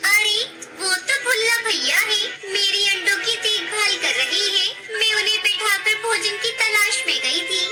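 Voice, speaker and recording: A woman's voice: very high voice, unhurried, loud music behind the voice. A woman voicing a naive, fretful little mother bird in a children's cartoon. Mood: trusting. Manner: plaintive, fretful. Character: anxious, trusting mother bird, small and naive. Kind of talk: Hindi cartoon moral story acting.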